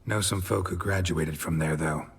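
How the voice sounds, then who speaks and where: A man voicing a stoic middle-aged monster hunter in a game, gruff and gravelly, speaking in terse deadpan lines. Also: moderate pace, exaggerated voice. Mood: dry, guarded. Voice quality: gravelly, low. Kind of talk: video game cutscene dialogue.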